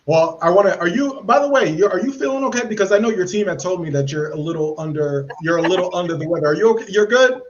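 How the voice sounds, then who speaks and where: A man's voice: sits high, expressive, fast, hardly any pauses, slight background noise. Earnest man podcast host, lo-fi sound. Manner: earnest, rambling questions with many fillers and restarts. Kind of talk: live podcast interview.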